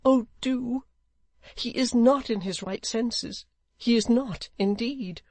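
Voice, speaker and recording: Narrator acting a high-pitched, imploring poor widow. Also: lively intonation, measured pace, a little mellow, no echo. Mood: desperate imploring. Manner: earnest, imploring supplication. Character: poor anxious widow, pleading protective mother.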